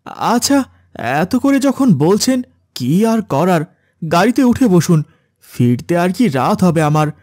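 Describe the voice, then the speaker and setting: A man acts a humble village van driver for a horror cartoon. The voice sits high and moves slowly, with a dry sound. He comes across as a polite, worried, hardworking father. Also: big pitch swings, darkish tone, no echo, full character voice. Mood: reluctant, polite. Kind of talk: Bengali horror cartoon dialogue.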